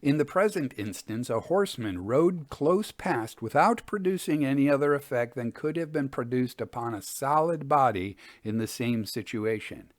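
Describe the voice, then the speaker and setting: A man narrating scripted stories as a storyteller and host, with a measured, formal delivery. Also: medium pitch, expressive, no room sound, studio quality. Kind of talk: scripted narration of old ghost stories with commentary.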